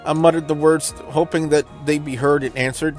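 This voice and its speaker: Higher-voiced man narrating over music. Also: steady tone, brisk. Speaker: let's play host. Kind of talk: dramatic read-aloud narration of a visual novel.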